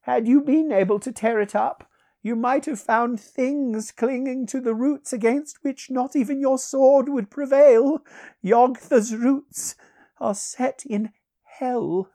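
A woman performs an eerie old sorcerer from a fantasy storybook, his voice lifted very high. He speaks slowly, with courtly, archaic grandeur and wry theatrical weight. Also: warm tone. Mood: grave, courtly. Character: aristocratic ancient male sorcerer, long imprisoned, wry and eerie. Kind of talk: dramatised fantasy audiobook.

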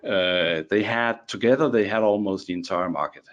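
Danish guy on a podcast, kinda low voice, keeps tripping over words and starting over. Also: normal speed, fairly bright tone, dull audio. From Denmark. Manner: hesitant, many restarts and repeated words. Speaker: guest. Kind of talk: casual podcast chat.

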